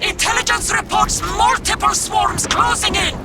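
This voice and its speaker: An urgent, dutiful military officer in a war game. The voice is pushed very high, delivering deferential battlefield reports under loud music. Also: male voice, normal speed. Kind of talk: video game voice acting.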